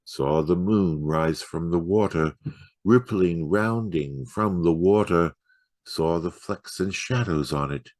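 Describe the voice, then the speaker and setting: A man giving a dramatic poetry reading in a measured, rhythmic storytelling delivery. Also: low voice, a little mellow, no echo, clean studio sound. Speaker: poetry reader.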